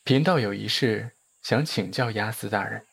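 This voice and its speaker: A man playing a calm, authoritative Taoist priest of ancient China in a period audio drama, with composed, formal speech. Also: lowish voice, normal speed, creaky, breathy edge, heavily acted. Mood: grave, instructing.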